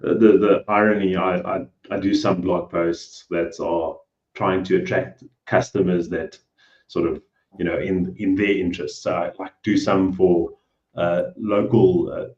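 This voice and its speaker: A man's voice: deep voice, flat delivery, unhurried, a little mellow, echoey room, muffled recording. A man with a South African accent, talking as a guest in a casual interview, hesitant and often trailing off with "you know". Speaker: guest, cleaning business owner. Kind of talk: casual video call interview.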